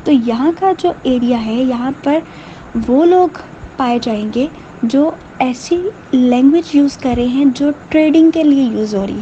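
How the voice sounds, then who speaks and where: Female teacher, high and soft-toned, slide lecture voice-over; faint hiss behind. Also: muffled recording. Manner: explains in Hindustani, reads English definitions slowly and clearly. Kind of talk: slide lecture explaining terms, reading English lines slowly.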